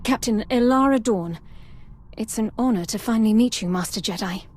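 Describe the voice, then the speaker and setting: An actress playing an earnest, dutiful army captain in a video game, polite and formal in a military way. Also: female voice, on the high side, moderate pace, a little mellow, faint music behind, natural voice. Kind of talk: cinematic video game acting.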